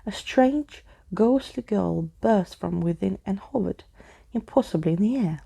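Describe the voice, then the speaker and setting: A woman reading a storybook aloud in a soft-spoken ASMR style, with casual asides. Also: medium pitch, expressive, a little slow, creaky, slightly rough.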